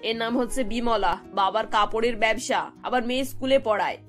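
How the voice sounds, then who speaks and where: Dutiful cartoon daughter-in-law voiced by a woman, high-pitched, eager to please, faint music behind. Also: normal speed, close to an everyday voice. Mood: coaxing. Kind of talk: cartoon character voice.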